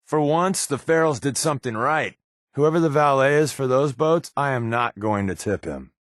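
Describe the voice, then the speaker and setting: An American actor playing a sardonic, wisecracking secret agent companion in a video game, with a wry, offhand delivery. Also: male voice, medium pitch, animated, normal speed, no room sound, clean studio sound, natural voice. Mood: mocking.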